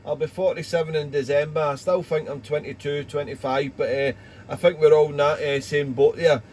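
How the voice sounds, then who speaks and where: A man's voice: fairly high pitch, level tone, brisk, a little mellow, slight background noise. Chatty Welsh man on ham radio. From Wales. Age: 40s. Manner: rambling, repeats words, ends with "over". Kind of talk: casual radio chat.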